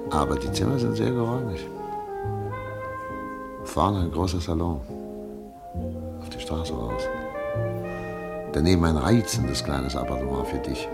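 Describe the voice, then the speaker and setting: An actor playing Albrecht, a hopeful German émigré doctor in a radio play, talking with dance music behind him. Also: male voice, a bass voice, full character voice. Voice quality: creaky.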